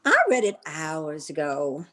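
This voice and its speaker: A woman's voice: deep voice, expressive, a little slow. An actress as a flirtatious society lady in a vintage radio comedy. Her voice swoops expressively as she coaxes, gushes and pushes coyly to get her way. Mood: coy, fishing. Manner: coaxing, insistent, gushing. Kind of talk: old-time radio comedy acting.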